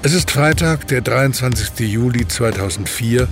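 Casting: male narrator, medium pitch, formal scripted storytelling for audio drama, clear music bed. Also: normal speed.

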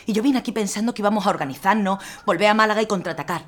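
A woman's voice: medium pitch, racing, close to an everyday voice. An actress playing a stubborn, teasing young militia woman. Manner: determined, teasing.

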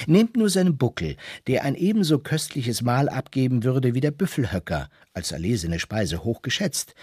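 An actor playing a wry, learned seafaring storyteller, lecturing the listener in a literary radio drama. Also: male voice, medium pitch, darkish tone, husky, no room sound, studio quality. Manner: mock-scholarly, addresses listeners, rhetorical.

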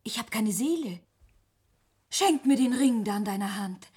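An actress playing a coy, greedy young slave girl in a verse radio play, flattering and teasing theatrically. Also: fairly high pitch, unhurried, husky. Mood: submissive, flattering. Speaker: actor.

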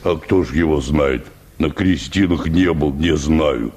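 An actor playing a stubborn, cheeky peasant choir bass in a Russian radio play, rustic, unhurried and mocking. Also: male voice, a little mellow. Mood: indifferent.

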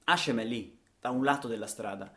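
A man's voice: medium pitch, a little fast, a bit bright. Male actor for a radio theatre monologue; rhythmic, dramatic storyteller delivery. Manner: dramatic, rhythmic delivery with repetitions and rhetorical questions.

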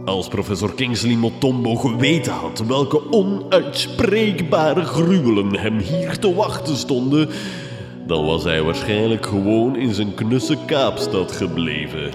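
An actor playing a menacing, mocking villain in a gothic horror comedy radio drama, speaking in a theatrical, declamatory way. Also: male voice, mid-range voice, very animated, measured pace. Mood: ominous. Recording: echoey hall reverb, music behind.

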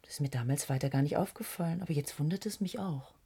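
A female actor playing a gentle, reflective woman in a radio play. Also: low voice, quick pace, gravelly, slightly rough, heavily acted. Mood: subdued. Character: gentle, reflective woman recalling a man's past. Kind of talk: German science-fiction radio play acting.

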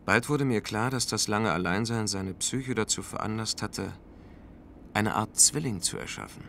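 A man's voice: lowish voice, moderate pace, vocal fry, fairly bright tone, slight background noise. An actor playing a world-weary, lonely spaceman, telling his story in a radio play in a dry, reflective manner. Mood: somber. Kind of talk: first-person radio play narration.

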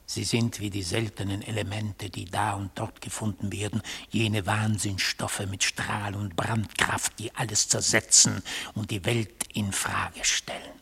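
An actor playing a stern, ominous man of the court in a theatrical radio play monologue, with a raspy voice. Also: fairly low pitch, little pitch movement, a little slow, pushed and bright, heavily acted. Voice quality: gravelly, raspy.

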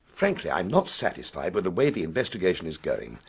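Very husky, animated English gentleman. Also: low voice, brisk, full character voice. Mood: impatient, frank. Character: well-to-do English gentleman, brisk, impatient, take-charge. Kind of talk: radio drama acting.

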